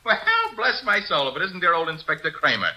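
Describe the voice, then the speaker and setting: An actor playing a wisecracking young assistant in a 1940s radio drama, breezy and cheeky. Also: male voice, fairly high pitch, animated, a little fast, bright, forceful tone, a little hiss or hum, low-quality recording. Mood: wry.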